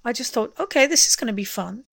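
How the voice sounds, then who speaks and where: A woman's voice: fairly high pitch, animated, quick pace, creaky, fairly bright tone, dry recording, clean studio sound. A woman telling personal memories conversationally, leaning on key words as she goes. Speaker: interview guest, singer. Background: music.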